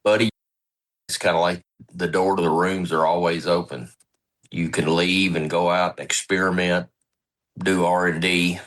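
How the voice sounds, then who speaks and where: A man's voice: sits low, flat delivery, moderate pace, pauses often, fairly bright tone. A man on a recovery podcast, plain-spoken and joking.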